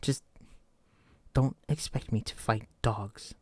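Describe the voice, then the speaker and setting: A wary, protective escapee with uncanny mental gifts, acted by a man in an immersive horror audio drama. He speaks straight to his companion in a medium-pitched male voice. He stays watchful and guarding. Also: steady tone, slow pace, husky, dry recording. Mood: relieved, earnest. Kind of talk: immersive roleplay audio drama, addressing the listener.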